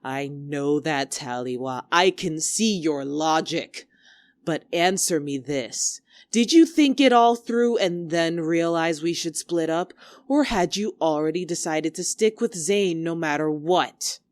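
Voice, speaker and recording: A woman's voice: low-pitched, takes it slowly, a little mellow, dry recording. A woman playing a domineering, scornful young female squad leader in a dramatic argument, biting, sarcastic and commanding. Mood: cold, cutting. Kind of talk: dramatic two-character argument performance.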